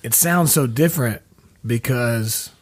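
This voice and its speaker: A man's voice: medium pitch, unhurried. A man hosting a podcast from his home studio room, chatting casually in an easygoing, rambling way. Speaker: podcast host. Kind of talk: casual chat.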